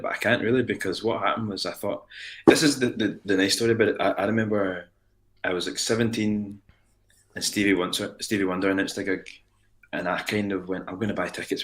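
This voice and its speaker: A Scottish man with a very deep, low voice speaks in a relaxed interview. He talks earnestly and rambles, restarting his sentences often as he works through his thoughts. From Ayrshire, Scotland. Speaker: musician guest. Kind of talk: casual interview chat.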